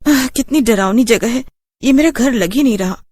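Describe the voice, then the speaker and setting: A woman's voice: a little high, normal speed, a little mellow, no echo, voice pushed up, close to an everyday voice. A woman voicing a frightened young bride in a cartoon horror story, anxious and insistent. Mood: uneasy. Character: frightened young bride new to a haunted mansion.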